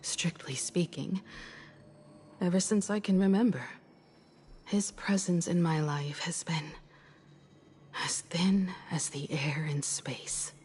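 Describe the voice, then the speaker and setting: An actress playing a grave, reserved woman in a video game, speaking softly and breathily in a measured, solemn way. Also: on the low side, slight background noise. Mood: solemn, wistful.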